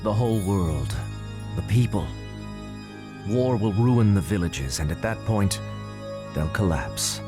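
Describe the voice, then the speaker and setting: A man playing a cold, scheming villain in an anime game dub, husky, calm, deliberate and ominous. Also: fairly low pitch, music in the background. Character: cold, scheming male villain plotting war.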